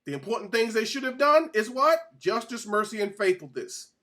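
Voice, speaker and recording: A man's voice: sits high, big pitch swings, normal speed, pushed and bright. A man giving an informal Bible-teaching sermon as a presenter, speaking emphatically, repeating phrases and putting questions to his listeners.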